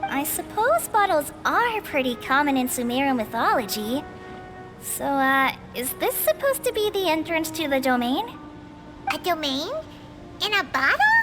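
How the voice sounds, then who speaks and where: A woman voicing a tiny, excitable, childlike companion creature in a video game, with a squeaky voice. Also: a little slow. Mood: curious, puzzled. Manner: excitable, exclamatory. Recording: game music under the voice.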